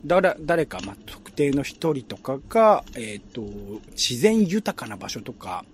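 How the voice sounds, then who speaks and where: A man hosting a relaxed chat, talking politely in a hesitant, roundabout way. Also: mid-range voice, unhurried. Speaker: host.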